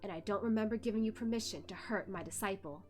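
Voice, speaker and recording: A woman's voice: mid-range voice, fast, darkish tone, faint music behind, close to an everyday voice. A woman voicing a cold, composed male martial master who speaks tersely and with disdain. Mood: stern.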